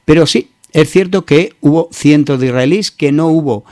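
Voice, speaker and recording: A man's voice: a little high, normal speed, a little mellow, dry recording. Spanish man commenting in a dry studio sound. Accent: Peninsular Spanish. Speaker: radio show host. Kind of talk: spoken news commentary on a radio program.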